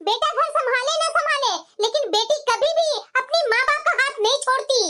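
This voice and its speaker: A woman's voice: very high-pitched, fairly quick, very noisy. A woman voicing an outspoken wife and devoted mother in a family moral cartoon, reproachful and insistent. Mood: reproachful, firm.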